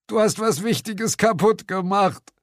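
A man's voice: high-pitched, unhurried, dry recording, studio quality, voice pushed up. A man voicing a boastful, whiny ghost hunter in a comic audiobook, stammering and melodramatic. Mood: pained, whining. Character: hapless, boastful male ghost hunter, mock-heroic and whiny.

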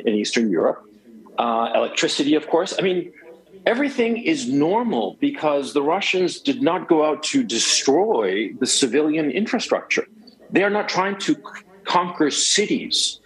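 A man's voice: fairly high pitch, poor audio. A man in his forties from Chile, a guest talking emphatically on a cell phone, rambling with many restarts. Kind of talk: phone interview.

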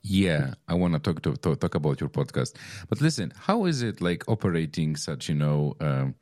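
Very deep-voiced podcast host. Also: male voice, very animated, normal speed, a little mellow, no room sound, studio quality. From Poland. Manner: chatty, rambling with many fillers and restarts. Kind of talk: casual interview chat.

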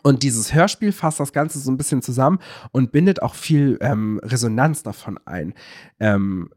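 A man's voice: mid-range voice, lively intonation, moderate pace, a little mellow, dry recording, clean studio sound. A man co-hosting a casual podcast, explaining things in a searching way and often correcting himself. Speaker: podcast co-host.

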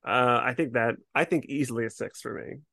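A man's voice: mid-range voice, fairly quick, creaky, pushed and bright, dry recording, clean studio sound. A man co-hosting a podcast, talking through a review in a casual, conversational way. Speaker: podcast co-host.

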